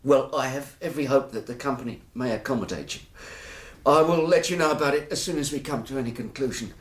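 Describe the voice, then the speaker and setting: An actor in a radio drama playing a distraught, terrified businessman, halting and stammering but formally polite. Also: medium pitch, natural voice. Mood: nervous, distracted. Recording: roomy, echoing interior.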